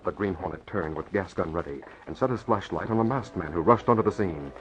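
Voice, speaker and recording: A man's voice: low-pitched, fairly quick, a little hiss or hum. A man narrating an old-time radio serial in a dramatic, scripted style. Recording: dull vintage radio recording.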